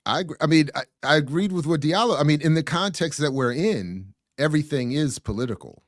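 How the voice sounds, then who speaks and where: A man's voice: fairly high pitch, animated, brisk, no room sound, clean studio sound. A man in casual panel chat who often repeats words as he builds his points.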